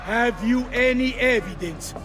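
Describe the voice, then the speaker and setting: Stern Renaissance judge, high voice, formal and slow, music behind, for a game. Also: male voice. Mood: cold, pompous. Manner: formal, declamatory. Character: stern, treacherous magistrate passing judgment in Renaissance Italy. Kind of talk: dramatic video game acting.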